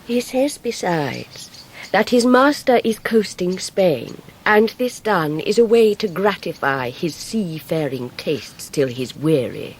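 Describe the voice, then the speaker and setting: A haughty Victorian gentlewoman, low-voiced and slow. Her delivery is cutting and contemptuous, swinging widely in pitch, as in a radio costume drama, with noise behind. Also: animated. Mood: cold scorn. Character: bitter, haughty, scornful gentlewoman of Victorian England. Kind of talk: period radio drama acting.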